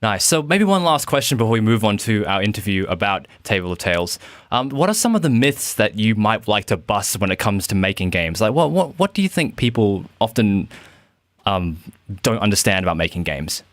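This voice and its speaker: A man's voice: fairly low pitch, sing-song, brisk, a bit bright, no echo. A man co-hosting an interview show, chatting and asking questions in a casual style.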